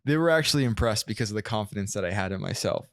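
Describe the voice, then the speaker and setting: A young man telling stories as a podcast guest, animated and acting out what he and others said. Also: fairly low pitch, rapid-fire, dry recording, clean studio sound. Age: young adult. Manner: animated storyteller, acts out his own quotes. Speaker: guest, sales closer. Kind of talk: casual interview chat.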